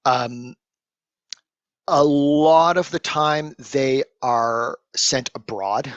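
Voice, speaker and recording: A man's voice: mid-range voice, very slow, no room sound, muffled recording. A man speaking as a threat intelligence panelist, explaining in a loose, conversational way with lots of fillers. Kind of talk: casual panel discussion.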